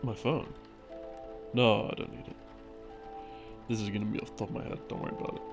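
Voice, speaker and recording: A man playing an affectionate, laid-back gamer boyfriend in an intimate ASMR roleplay, rambling softly and hesitantly to soothe his partner to sleep. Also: lowish voice, steady tone, close to an everyday voice. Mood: teasing, relaxed. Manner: soft-spoken, hesitant, teasing, with small chuckles and long pauses. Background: background game music.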